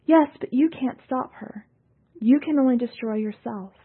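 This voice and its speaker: A woman's voice: fairly high pitch, drawn-out words, slightly rough, no room sound, voice pushed up, exaggerated voice. A woman voicing a proud, anxious woman pilot who pleads and argues in a raised voice. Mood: urgent. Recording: phone-like thin sound.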